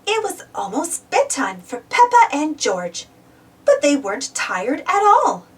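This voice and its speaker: A woman reading a picture book aloud as narrator, expressively and deliberately, for young children. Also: high-pitched, wildly swooping pitch, distant mic.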